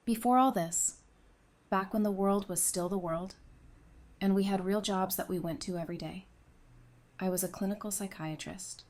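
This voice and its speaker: A woman's voice: medium pitch, moderate pace, pauses often, darkish tone, close to an everyday voice. A woman in an audio drama playing a composed, perceptive psychiatrist turned survivor, earnest and self-assured. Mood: confident.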